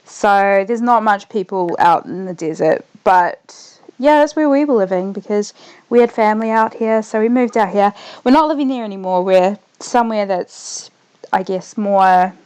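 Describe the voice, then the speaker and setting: A young woman with a New Zealand accent tinged slightly Australian, chatting loosely as a streamer while she plays, trailing off quietly as she concentrates. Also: medium pitch, clear, resonant tone. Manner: rambling, trails off softly when focused on the game. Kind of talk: casual gaming livestream chat.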